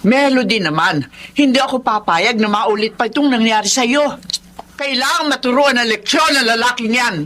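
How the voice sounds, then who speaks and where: A woman's voice: fairly high pitch, moderate pace, fairly bright tone, close to an everyday voice. A middle-aged woman acting in a Filipino radio drama as an indignant, protective mother, confrontational and emphatic. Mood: indignant, scolding. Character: indignant, protective, scolding mother of a grown daughter. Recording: light background noise.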